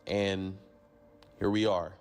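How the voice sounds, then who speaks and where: A man's voice: low-pitched, little pitch movement, slow pace, soft background music. A man hosting a show, explaining things in a conversational way. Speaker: show host. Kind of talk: presenting.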